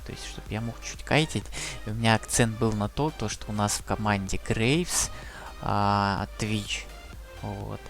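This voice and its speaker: A man's voice: fairly low pitch, steady tone, measured pace, vocal fry, fairly bright tone. A young man giving casual gameplay guide commentary, rambling with lots of fillers. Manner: rambling, many fillers like "вот" and "то есть". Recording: music playing under the voice.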